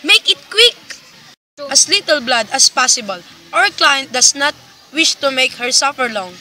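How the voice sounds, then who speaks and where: A woman's voice: high-pitched, lively intonation, measured pace, sharp tone, heavily acted, rough recording. A woman playing a cold-blooded crime boss in an amateur radio play, giving methodical, commanding orders.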